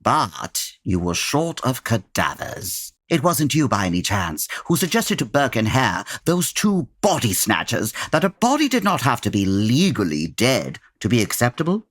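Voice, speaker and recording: An actor playing a composed, shrewd detective with a formal, dryly exasperated, erudite manner in an old-time radio drama parody. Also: male voice, mid-range voice, sing-song, normal speed, few pauses, fairly bright tone, no echo, clean studio sound. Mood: accusing.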